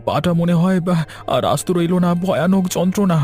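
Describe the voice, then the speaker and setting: A man's voice: high-pitched, fairly quick, darkish tone, faint music behind, lightly acted. A man acting a groaning, fretful injured farmer. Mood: pained, pleading. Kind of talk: cartoon story dialogue.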